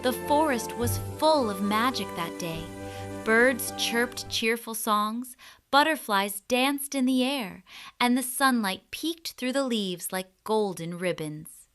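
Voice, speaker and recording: A woman reads a children's fairy tale as storyteller. Her voice is bright and forward, and she takes her time, shaping each phrase expressively. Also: on the high side, soft background music. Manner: expressive, deliberate storytelling delivery.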